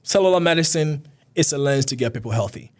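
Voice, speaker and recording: A man's voice: a little high, animated, fast, a little mellow. A man, a physician guest talking earnestly and often repeating himself in a casual interview. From Ghana.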